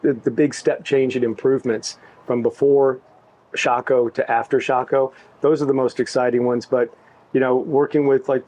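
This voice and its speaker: A middle-aged man talking about his work in an easygoing, halting way, with many restarts. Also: mid-range voice, flat delivery, darkish tone, rough recording. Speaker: tech executive, interviewee. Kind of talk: casual backstage interview.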